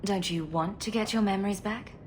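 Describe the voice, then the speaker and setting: A woman voicing a gentle, questioning female hero. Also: mid-range voice, brisk, big-room sound, a little hiss or hum, natural voice. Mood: concerned. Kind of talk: video game cutscene dialogue.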